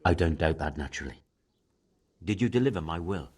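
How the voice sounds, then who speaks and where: A man's voice: sits low, animated, fairly quick, breathy edge. An actor playing an ailing, fatherly older man in a video game. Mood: weary. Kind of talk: dramatic video game dialogue.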